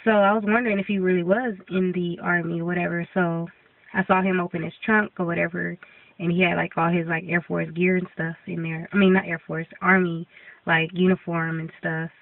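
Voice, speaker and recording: A woman's voice: fairly low pitch, flat delivery, brisk, vocal fry. Congested young woman, tinny and distant. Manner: rambling, many ums and restarts. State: congested, getting sick. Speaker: vlogger. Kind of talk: casual video update. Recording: tinny phone-like sound from off-mic.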